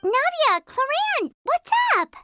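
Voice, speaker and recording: A woman voicing a tiny, eager fairy companion from an anime game, childlike, squeaky and full of curious exclamations. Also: very animated, slow pace, bright, forceful tone, faint music behind, heavily acted.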